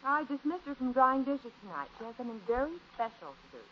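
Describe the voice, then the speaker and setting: A woman's voice: sits high, big pitch swings, quick pace. An American woman playing a patient but firm middle-aged mother in a 1950s radio sitcom. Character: patient, firm mother and housewife calming squabbling children. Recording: muffled old radio recording, faint noise.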